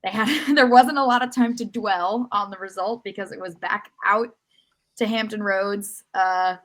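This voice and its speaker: Mid-pitched woman recapping games casually. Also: measured pace, a bit bright. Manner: informal, lightly joking. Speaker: co-host of a sports podcast. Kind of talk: casual match recap chat.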